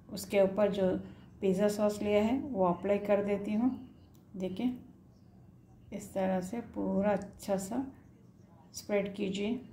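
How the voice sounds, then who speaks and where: A woman's voice: medium pitch, dark tone. A woman hosting a cooking video, explaining a recipe step by step from her home kitchen and talking straight to the viewers. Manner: instructive, addresses viewers directly. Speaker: cooking channel host.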